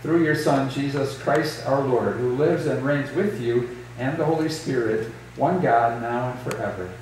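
A middle-aged man leads a church service in a slow, solemn liturgical cadence. His voice sits in a middle register. It reaches the microphone from a distance in a strongly echoing sanctuary, over a faint hum. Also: medium pitch, a little mellow. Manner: measured, solemn liturgical delivery. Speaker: pastor. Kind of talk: liturgy and scripture reading. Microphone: distant camera, later lectern mic.

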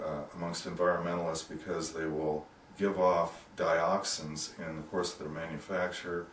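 A man in his sixties giving an informal product explanation as a company representative, measured and explanatory, with hesitant pauses. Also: sits low. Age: 60s. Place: small office indoors. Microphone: camera microphone a few metres away. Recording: roomy, distant microphone, background noise.

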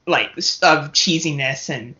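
A woman with a bright, slightly low voice chats as a podcast guest. She is candid and swears freely, on a somewhat dull lo-fi recording. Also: measured pace. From Canada. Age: 30s or older. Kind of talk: casual chat.

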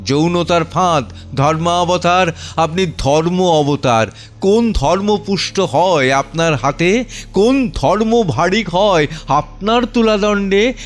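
A man acting an anguished, humble middle-aged clerk and father in an audio drama monologue, theatrical and deliberate, pausing between short phrases. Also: on the high side. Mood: indignant pleading. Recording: music under the voice. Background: background music.

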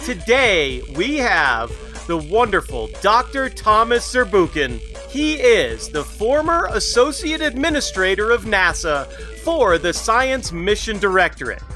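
A man co-hosting a kids' science podcast, excitable and upbeat. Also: high-pitched, measured pace, soft background music. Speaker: podcast co-host.

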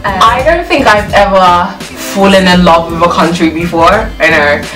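A young woman from Ghana telling a personal story in a casual vlog, animated and laughing as she acts out her own reactions, filmed in a small bedroom. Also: lowish voice, moderate pace, recorded from a distance. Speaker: vlogger. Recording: echoey room, voice off-mic, loud music behind.

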